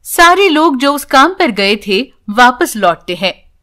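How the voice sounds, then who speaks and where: A woman's voice: sits high, sing-song, slow pace, pushed and bright. A woman narrating a scripted bedtime story for children as a storyteller.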